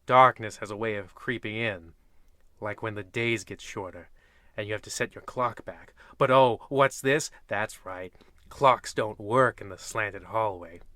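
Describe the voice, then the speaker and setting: An actor playing a pompous, tongue-in-cheek narrator of an old-time radio horror show, ominous but awkward. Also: male voice, on the low side, measured pace, sharp tone, dry recording. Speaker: narrator and host.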